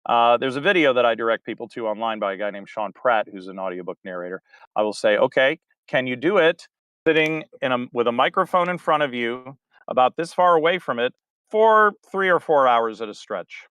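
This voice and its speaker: A man's voice: mid-range voice, lively intonation, a little fast, fairly bright tone, smooth, no room sound, poor audio. A man, a community theater director, chatting casually as an interview guest, rambling a bit and laughing easily.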